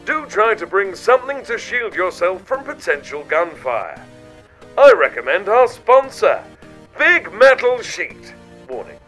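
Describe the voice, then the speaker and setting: Actor as overeager announcer, parody advert, sharp tone, extremely animated, faint music behind. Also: male voice, fairly high pitch, exaggerated voice, poor audio. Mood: brisk disclaimer. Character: slick public announcer with overeager sales pitch.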